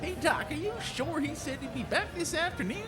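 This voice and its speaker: An eager western sidekick, with a high, whiny voice and rural American drawl. His delivery is folksy and swoops around in pitch, set over music in a radio play. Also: lively intonation, a little fast. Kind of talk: western radio drama acting. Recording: music behind the voice.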